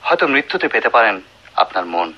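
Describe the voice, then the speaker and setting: A man playing a grave, composed doctor in a radio drama, speaking in a measured, solemn and reassuring way. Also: fairly low pitch, sing-song, bright, forceful tone, heavily acted. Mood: grave, explaining. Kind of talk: 1980s Bengali radio drama acting. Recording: muffled old radio recording, music.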